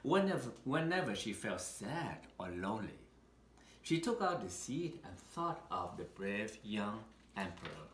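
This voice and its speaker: A man reads a picture book aloud in a roomy, echoing space with faint noise behind him. His delivery is very slow and deliberate, with dramatic pauses and lively rises and falls that carry the storytelling. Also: lowish voice. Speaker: storybook reader. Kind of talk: read-aloud children's picture book narration.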